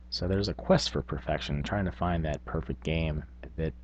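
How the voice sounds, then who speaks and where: A man's voice: very deep voice, moderate pace. A man hosting a tabletop gaming vlog, talking casually in a rambling, self-effacing, unscripted way. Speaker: tabletop gaming video host.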